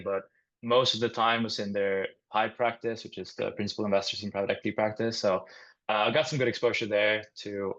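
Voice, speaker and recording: Young man, from Sweden, low flat voice, dull call audio. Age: young adult. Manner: measured, hesitant with "uh". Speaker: panelist, MBA student. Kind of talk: self-introduction on a video call.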